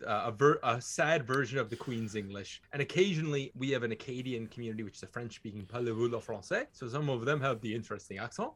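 A man's voice: mid-range voice, animated, fast, few pauses, fairly bright tone. Upbeat East Coast Canadian man, casual podcast guest, chatty and friendly. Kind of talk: casual chat.

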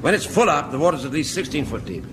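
Mild, diffident English sergeant. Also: male voice, fairly high pitch, sing-song, quick pace. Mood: mildly apologetic. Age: middle-aged. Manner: diffident, courteous, gently protesting. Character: mild, polite, hapless middle-aged English sergeant. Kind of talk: radio sitcom acting. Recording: very noisy old radio recording.

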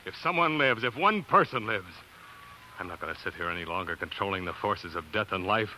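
A man's voice: low-pitched, animated, fairly quick, sharp tone, slight background noise, exaggerated voice, low-quality recording. An American man acting in a 1940s radio drama as an earnest, grief-stricken doctor telling his own tragic story, on a vintage broadcast recording. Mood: desperate defiance.

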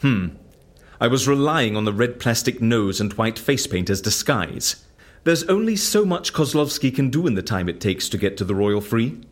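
A man's voice: medium pitch, animated, normal speed, close to an everyday voice. A man in an audio drama playing an eccentric, suave, flippant fixer with a breezy, drily playful manner. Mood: gleeful, whimsical. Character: eccentric, suave, flippant male fixer who disappears people.

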